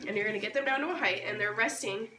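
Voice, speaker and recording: A hoarse, sick-sounding woman giving a casual, informal step-by-step hands-on tutorial. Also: mid-range voice, racing. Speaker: babywearing tutorial host. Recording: roomy, distant mic, noisy background.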